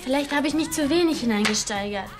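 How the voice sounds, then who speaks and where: High-voiced, insistent schoolgirl. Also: expressive, fast, music in the background. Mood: upset. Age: teenager. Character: emotional, insistent teenage schoolgirl. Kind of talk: TV series acting. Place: indoors.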